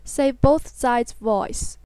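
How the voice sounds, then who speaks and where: A woman reading a scripted software tutorial in an instructional, step-by-step manner. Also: fairly high pitch, very slow, clean tone, dry recording.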